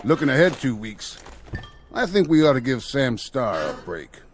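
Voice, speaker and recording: Folksy, sly outlaw played by a man, lively pitch. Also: normal speed, slight background noise, lightly acted. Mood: scheming, amiable. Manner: folksy, colloquial cowboy talk. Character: folksy, sly Old West outlaw, cheerfully in charge. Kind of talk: radio drama acting.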